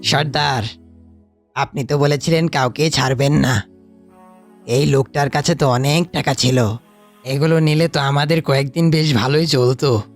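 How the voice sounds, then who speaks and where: A man's voice: medium pitch, fairly even intonation, moderate pace, long pauses, faint music behind, natural voice. A man voicing an eager, greedy bandit underling who defers to his chief in a cartoon. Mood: puzzled complaint. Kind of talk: animated cartoon voice acting.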